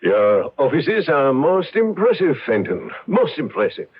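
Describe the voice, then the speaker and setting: A man's voice: on the high side, very animated, unhurried, breathy edge, full character voice. An actor in an old radio drama playing a grave, stiffly courteous man with formal speech. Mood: formal, solemn. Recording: dull lo-fi radio drama recording.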